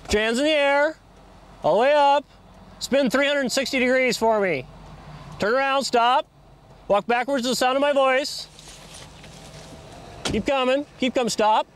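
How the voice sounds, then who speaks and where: A male police officer talking plainly and barking slow loud commands at a night traffic stop. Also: very high-pitched, clean tone. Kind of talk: field commands and casual police talk. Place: outdoors at night on a roadside. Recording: noisy outdoor field audio.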